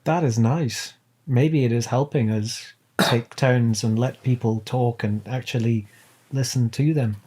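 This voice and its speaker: A man's voice: medium pitch, fairly even intonation, unhurried, warm tone, breathy edge. A British man chatting casually as a podcast guest, playful and performative in his banter. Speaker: podcast guest, audio drama producer. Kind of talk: casual chat. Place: remote online call.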